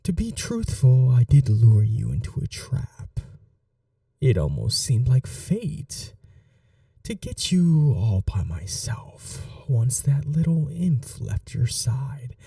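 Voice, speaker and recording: A man voicing an ancient, cunning male vampire lord in a whispered ASMR roleplay, courtly and seductive, with a husky, half-whispered voice. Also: mid-range voice, wildly swooping pitch, dark tone, no room sound, studio quality, recorded from a distance, exaggerated voice. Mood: predatory, menacing. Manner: courtly, slow and seductive, turning menacing.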